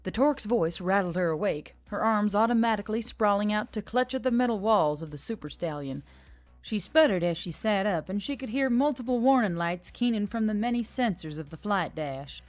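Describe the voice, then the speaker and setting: A woman's voice: medium pitch, lively intonation, brisk, soft background music. A woman reading scripted fiction as a story narrator.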